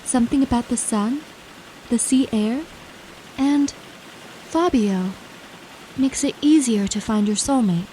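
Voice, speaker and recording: A woman's voice: on the high side, measured pace, long pauses, dark tone, lightly acted, low-quality recording. A woman playing a blissful, unwavering ferry captain devoted to a cult, in a radio play. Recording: faint outdoor ambience and noise behind.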